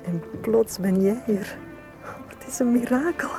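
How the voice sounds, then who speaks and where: A woman's voice: fairly high pitch, a little slow, over music. An actress playing a proud, vulnerable young small-statured Venetian woman in a radio drama, speaking frankly and earnestly with a wistful edge. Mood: wistful, loving. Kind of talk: Dutch-language radio drama acting.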